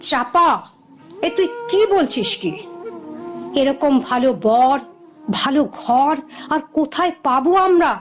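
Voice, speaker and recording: A woman's voice: sits high, slow pace, pauses often, faint music behind. A woman voicing an anxious, easily astonished elderly village mother in a fairy-tale cartoon, with exclamatory, pleading village speech.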